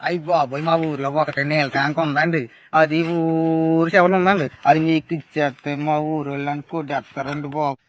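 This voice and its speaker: A man, playing a naive villager in a scripted phone comedy. He rambles and breaks into sudden loud outbursts. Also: a little high, fairly even intonation, brisk, muffled recording.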